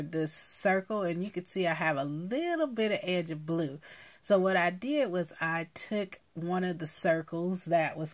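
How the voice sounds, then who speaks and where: A woman hosting a craft video, casually explaining her handmade project in a halting way. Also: on the low side.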